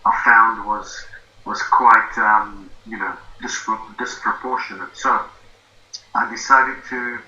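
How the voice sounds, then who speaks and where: A man's voice: fairly low pitch, steady tone, slow pace, sharp tone. A man in his fifties with a South African accent, a guest speaking casually and hesitantly on a video call. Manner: hesitant, many fillers and restarts. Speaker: guest, affiliate marketing student. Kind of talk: casual interview over a call. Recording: lo-fi roomy call audio with faint noise.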